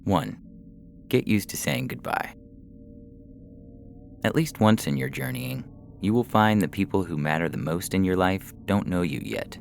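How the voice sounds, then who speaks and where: Male narrator, extremely deep with gravelly fry, deliberate short-story reading over faint music. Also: animated, slight background noise. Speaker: story reader. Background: faint background music.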